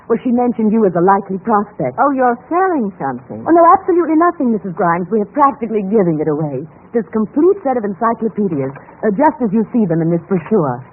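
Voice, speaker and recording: A woman's voice: mid-range voice, very animated, fast talker, few pauses, husky. Casting: a courteous, mannered lady in a radio mystery, theatrical period delivery, tinny old recording. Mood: polite, eager. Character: two women: polite housewife and eager young saleswoman. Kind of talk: 1940s-50s radio drama acting. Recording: thin, noisy vintage radio recording.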